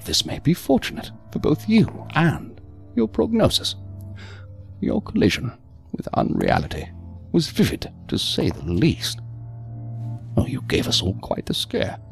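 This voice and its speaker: Creaky, theatrical, condescending hospital psychiatrist. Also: male voice, on the high side, huge pitch swings, soft background music, heavily acted. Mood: smooth, condescending. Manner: measured, formal, theatrical, unctuous. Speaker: actor. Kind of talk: audio drama acting.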